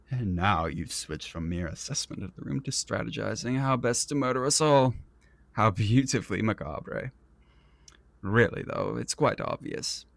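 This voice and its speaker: An actor playing an arrogant, vain and petulant prince in a fantasy audio roleplay, speaking in a pompous, theatrical and condescending manner. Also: male voice, fairly low pitch, gravelly, no room sound. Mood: intrigued, smug. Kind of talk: scripted ASMR roleplay audio drama.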